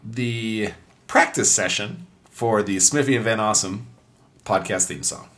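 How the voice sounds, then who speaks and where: A man with a raspy voice, hosting a casual podcast with mock-grand announcements. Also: on the low side, measured pace, a bit bright. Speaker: podcast host.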